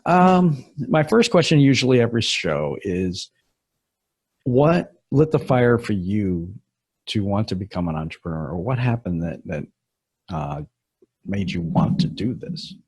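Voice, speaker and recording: A man with a husky voice hosts a casual podcast interview. His pitch swings widely as he talks, and he breaks into laughter often. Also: a little slow, dry recording. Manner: laughs often, animated and chatty. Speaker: podcast host.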